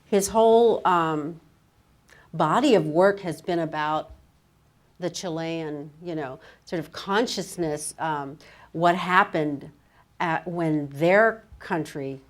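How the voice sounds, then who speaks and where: A woman moderating a literary discussion as a fellow writer, musing in a halting, conversational way with frequent asides. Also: deep voice.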